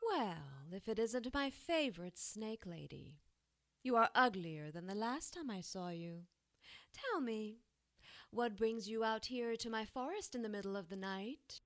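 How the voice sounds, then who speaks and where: An ancient, cruel witch in an audio drama. Her pitch swoops widely as she taunts and mocks, taking her time over each phrase. The sound is dry and close. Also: animated, fairly bright tone, dry recording. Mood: mocking. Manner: taunting, theatrical, drawn-out. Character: cruel, mocking, centuries-old witch who curses enemies.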